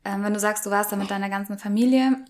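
A woman hosting a podcast interview in a casual, friendly chat style, quick to laugh. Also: medium pitch, steady tone. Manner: laughs readily. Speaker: podcast host interviewing a guest.

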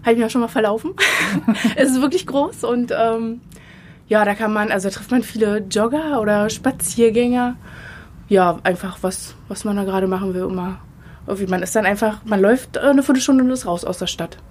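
A woman in her thirties from Potsdam, answering questions in a casual street interview outdoors, chatty and relaxed with frequent filler pauses. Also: mid-range voice, a little hiss or hum. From Potsdam, Germany. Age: 30s. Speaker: interviewee, local resident. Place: outdoors in a residential area.

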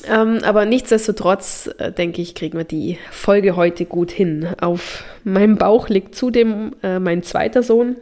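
A woman's voice: medium pitch, unhurried, hardly any pauses, warm tone. A woman hosting a podcast as a childbirth coach, talking informally to expectant mothers, slightly nasal from a mild head cold, with frequent hesitations.

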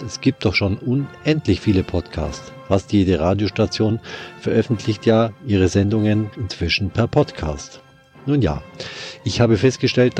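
A man's voice: on the low side, darkish tone. A middle-aged man with a Bavarian accent, hosting a podcast with a scripted intro and then casual chat, friendly with some restarts. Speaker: podcast host, orchestra violist.

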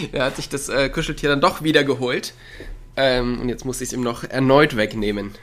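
A man co-hosting a relaxed conversation from home over a remote call. He rambles through his thoughts with plenty of fillers. He chuckles often as he talks. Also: mid-range voice, normal speed. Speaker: podcast co-host. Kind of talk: casual chat. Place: at home, with a dog nearby.

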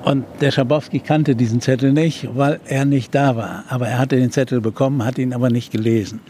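Older male journalist, halting storyteller. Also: medium pitch, steady tone, warm tone, slight background noise. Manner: hesitant storytelling with many fillers. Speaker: journalist and TV presenter. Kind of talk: recounting a historical event in an interview.

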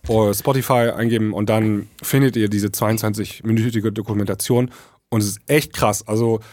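A man's voice: fairly low pitch, fairly even intonation, brisk, a little mellow, no room sound. A man with a German accent co-hosting a casual podcast discussion, explaining things and often seeking agreement with little tag questions. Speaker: podcast co-host.

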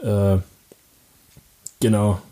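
A young man from Germany talks through his story in a relaxed interview, stopping and restarting often and breaking into short laughs. His voice sits low and dark, with very little rise and fall. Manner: halting, many fillers and restarts, laughs often. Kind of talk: casual interview answers.

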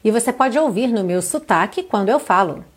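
Brief: woman from Brazil, English teacher, high-ish voice, careful slow reading of lines. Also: expressive, slightly rough. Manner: reads English lyrics slowly and carefully, then translates. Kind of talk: online language lesson.

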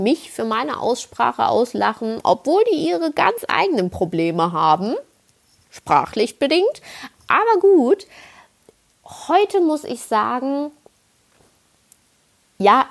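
A woman's voice: fairly high pitch, gravelly. A woman in her twenties from the Palatinate with a slight Palatinate German accent, hosting a podcast in a casual, self-ironic monologue that rambles with lots of restarts. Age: 20s. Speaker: podcast host. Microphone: podcast microphone.

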